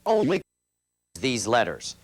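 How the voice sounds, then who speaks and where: A man's voice: mid-range voice, huge pitch swings, slow, background noise. An American man narrating a scripted, dramatic crime news show as its host. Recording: loud music bed behind voice. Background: loud music.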